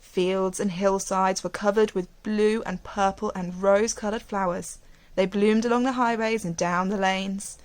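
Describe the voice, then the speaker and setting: A woman teacher reading a storybook aloud to young children, expressively, with gentle questions and asides. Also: mid-range voice, steady tone, unhurried.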